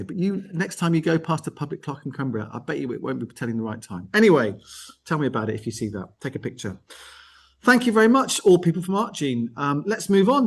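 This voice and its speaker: A man hosting a casual live arts call, talking in a chatty, discursive way. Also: on the high side. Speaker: host of an arts network online call. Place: on location at an art gallery, live video call.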